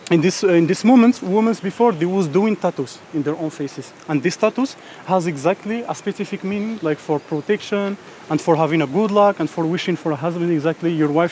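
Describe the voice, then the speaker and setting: High-voiced Moroccan Amazigh guide. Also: male voice, a little mellow, slight background noise, poor audio. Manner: frequent "like", "exactly" and "okay?" checks. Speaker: local tour guide. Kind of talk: guided tour explanation. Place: outdoors in a village.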